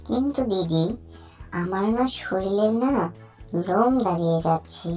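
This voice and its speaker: Woman as a timid, slow-talking cartoon youngster. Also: mid-range voice, animated, a little mellow, soft background music, close to an everyday voice. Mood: awed, uneasy. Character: timid, friendly younger cartoon animal sibling. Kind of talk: children's cartoon dialogue.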